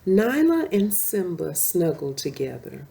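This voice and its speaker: Woman, read-aloud storytelling, slightly low pitch, creaky, unhurried, expressive. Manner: pauses to explain pictures to listeners. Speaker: children's story reader.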